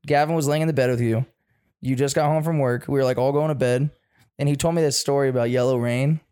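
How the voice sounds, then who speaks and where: A man's voice: medium pitch, fairly even intonation, fast, warm tone, clean tone. A man in his twenties chatting informally as a podcast co-host, swearing freely. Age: 20s. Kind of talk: casual chat.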